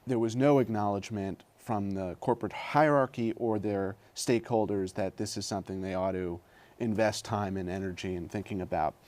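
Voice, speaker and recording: A man hosting an interview, asking long, measured questions with some hesitation. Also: fairly low pitch, fairly even intonation, a little mellow. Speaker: interview host.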